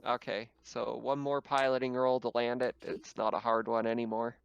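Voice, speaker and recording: Clear-voiced man running a tabletop game. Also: mid-range voice, little pitch movement, normal speed, muffled recording. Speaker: game master. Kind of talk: casual tabletop game narration.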